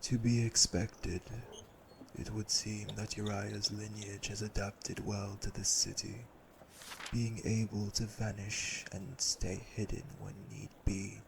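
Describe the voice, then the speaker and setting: A man playing a cold, commanding vampire elder brother in an audio roleplay, speaking formally and sternly with a gravelly voice. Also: lowish voice, flat delivery, takes it slowly, noisy background. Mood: cold, probing. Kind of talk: audio roleplay drama acting.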